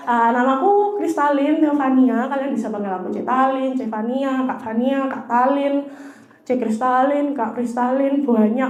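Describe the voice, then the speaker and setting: A woman's voice: on the high side, a little mellow. Chatty nervous young woman preacher. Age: 20s. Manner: chatty, self-deprecating, nervous. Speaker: youth church speaker. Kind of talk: casual youth sermon with audience interaction. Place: church hall, live audience.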